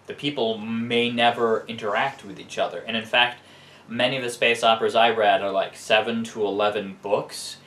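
A middle-aged American man in casual discussion, haltingly thinking aloud as he gives his opinions in a living room. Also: fairly low pitch, fairly bright tone. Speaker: co-host giving opinions. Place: living room couch, indoors. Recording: roomy echo, faint background noise.

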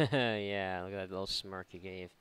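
A man's voice: sits low, fairly even intonation, normal speed, a bit bright, clean tone. A man doing casual, irreverent let's play commentary, mocking and thinking aloud. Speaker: gaming YouTuber.

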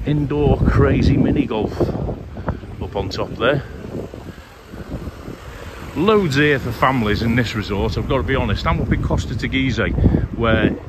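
Upbeat vlogger talking to camera while walking a seafront; phone mic, heavy wind and road noise. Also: male voice, medium pitch, unhurried. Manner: chatty, upbeat, addresses viewers directly. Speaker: travel vlogger. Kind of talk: casual walking vlog narration. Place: outdoors on a seaside promenade. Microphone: handheld phone camera. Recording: loud wind and traffic noise outdoors.

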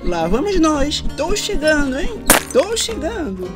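Woman doing an excitable naive kid, brazilian, gushy cartoon voice, swoops around, loud music under it. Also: sits high, takes it slowly, exaggerated voice. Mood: gushing. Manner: gushing, exclamatory. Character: naive, excitable young boy who adores dogs. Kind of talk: cartoon dub acting.

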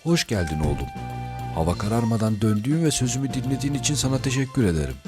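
A man playing a caring animal father who gently instructs his son in a children's cartoon. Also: mid-range voice, very animated, fast, music in the background, natural voice.

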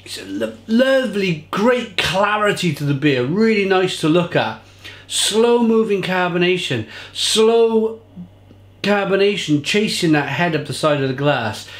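A man's voice: high voice, reverberant. A man in his thirties giving an enthusiastic, casual beer tasting review to camera from a home kitchen. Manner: enthusiastic, gestures a lot while describing flavours. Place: home kitchen bar with shelves of bottles, indoors.

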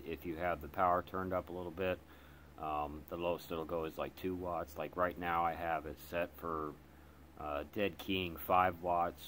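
A man giving a casual, unscripted hands-on product demonstration in a halting, hesitant way, as a hobbyist reviewer. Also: low voice. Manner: hesitant, halting delivery with trailing phrases. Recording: clear background noise in room.

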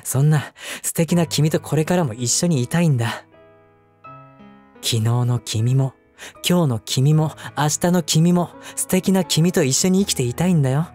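A man acting as a devoted young husband, speaking gently and intimately to the listener as his wife in an audio drama. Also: on the high side, normal speed, lightly acted. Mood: earnest, pleading. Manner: gentle, affectionate, softly chuckling between phrases. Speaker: voice actor. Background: background music.

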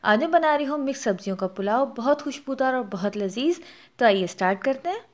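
A woman's voice: high-pitched, lively intonation, fairly quick. A woman with a Pakistani Urdu accent hosting a cooking channel, narrating a recipe step by step and adding practical tips. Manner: explanatory, instructive, gives practical tips.

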